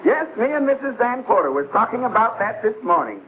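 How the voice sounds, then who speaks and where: A gossipy townsman, speaks in a very high, lively voice. It is 1950s sitcom acting on a tinny, faintly noisy soundtrack. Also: fairly quick. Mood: amused gossip.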